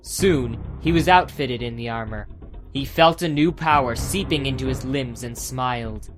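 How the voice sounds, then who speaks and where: A man's voice: medium pitch, moderate pace. Male audiobook narrator over prominent music. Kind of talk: scripted dramatic audiobook narration.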